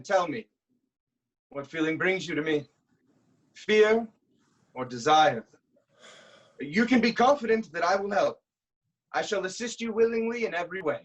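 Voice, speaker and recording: A male actor as a proud king of ancient Greek tragedy. His voice sits high for a man and has a husky grain. He addresses his people in a stately, declamatory way, full of concern. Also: lo-fi sound. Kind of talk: staged reading of Greek tragedy.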